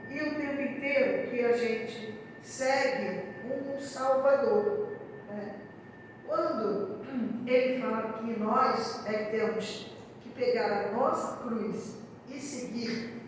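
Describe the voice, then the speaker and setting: A hoarse woman with a Brazilian Portuguese accent gives a spiritist talk, speaking deliberately and often checking in with her audience. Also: fairly high pitch. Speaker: spiritist lecturer. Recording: echoey hall, distant mic, background noise.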